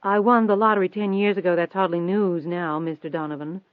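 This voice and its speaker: A man's voice: high-pitched, fairly even intonation, fairly quick, clear, resonant tone, no echo, natural voice. A man voicing a prim, nervous, proud rich woman in an audiobook, formal and defensive, with an anxious edge. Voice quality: trembling, anxious. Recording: thin phone-like band-limited audio.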